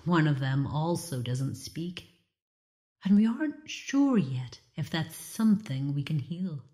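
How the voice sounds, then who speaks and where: An actress playing an earnest healer who cares for war orphans. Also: female voice, low-pitched, sing-song, slow, dark tone, full character voice. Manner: earnest, a little breathless.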